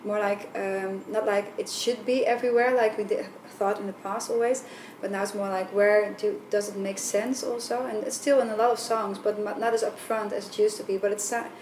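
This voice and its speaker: A woman's voice: mid-range voice, fairly even intonation, normal speed, hardly any pauses, a little mellow. A woman from the Netherlands with a Dutch accent and a light voice, chatting loosely in an interview with lots of fillers and restarts. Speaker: band vocalist. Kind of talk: casual interview answers. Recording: roomy, far from mic, faint noise.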